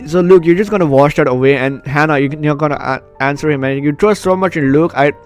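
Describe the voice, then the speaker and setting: A man's voice: a little high, quick pace. Male gamer reading over music. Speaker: gaming video host. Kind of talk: casual let's play commentary, reading game text aloud. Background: game music.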